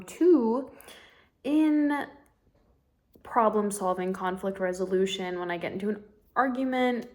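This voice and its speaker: Casting: young female vlogger, mid-range voice, informal and confiding, loosely rambling through personal updates. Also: mid-range voice. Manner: rambling, confiding, self-talk with trailing thoughts. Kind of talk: casual personal vlog, talking to camera.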